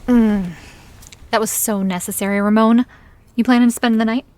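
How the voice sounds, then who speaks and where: Actress as a teasing, high-voiced independent woman. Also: steady tone, normal speed, a little mellow, lightly acted. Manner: dry, teasing, frank. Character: independent, teasing businesswoman with a casual lover. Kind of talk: audio drama dialogue.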